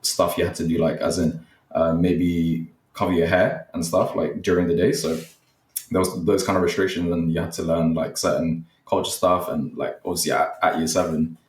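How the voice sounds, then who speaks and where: A man's voice: low voice, normal speed. A man, a podcast guest talking casually and rambling, with lots of fillers. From Nepal, raised in West London. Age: 20s. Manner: relaxed, rambling, heavy use of fillers like "like" and "you know". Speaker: guest, student content creator. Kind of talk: casual interview chat. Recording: roomy and distant from the mic.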